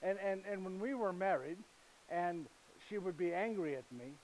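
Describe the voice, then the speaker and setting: An actor performing a first-person monologue as a gentle, humorous husband and father. He speaks broken, foreign-sounding English and keeps checking in with listeners through tag questions like "yes?". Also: sits high, animated, moderate pace, slight background noise, rough recording. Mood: fond storytelling. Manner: chatty, storytelling, addresses listeners directly. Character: gentle, humorous male carpenter, husband and father, middle-aged. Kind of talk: live theatrical monologue.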